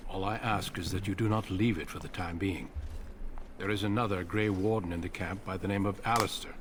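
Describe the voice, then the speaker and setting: An actor playing a grave, secretive male warrior commander in a video game, speaking in a measured, solemn way. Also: deep voice, fairly even intonation, a little hiss or hum, close to an everyday voice. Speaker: veteran Grey Warden commander.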